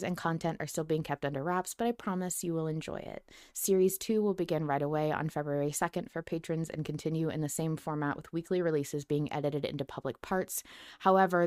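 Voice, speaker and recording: A woman hosting a podcast in casual, informal chat, with an excitable, sweary streak. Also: low-pitched, no echo, studio quality. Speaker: podcast host. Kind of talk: casual podcast chat, reading announcements aloud.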